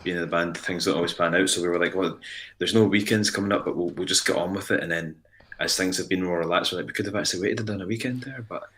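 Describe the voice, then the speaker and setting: A young man with a Scottish accent talks in a low voice with a bright, forceful edge. He chats casually as a guest musician, rambling and halting. He trips over and repeats his words as he goes. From Scotland. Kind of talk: casual radio chat.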